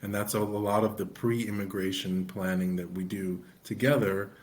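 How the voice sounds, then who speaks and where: Male co-host, middle-aged lawyer, steady and explanatory, flat low delivery. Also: normal speed. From United States. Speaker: immigration attorney and podcast co-host. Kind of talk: podcast conversation.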